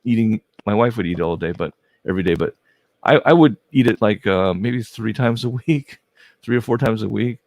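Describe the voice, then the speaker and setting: Guy hosting a livestream chat, gravelly voice, dry close sound, keeps restarting sentences. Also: lowish voice, moderate pace, darkish tone, dry recording. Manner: friendly, stumbling restarts, many backchannels. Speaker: host. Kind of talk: casual livestream interview.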